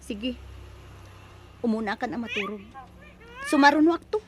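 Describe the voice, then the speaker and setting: A woman's voice: a little high, sing-song, moderate pace, slight background noise. A woman acting a distressed, reluctant young daughter and bride in an Ilocano audio drama, pleading and protesting. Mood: reluctant, weary.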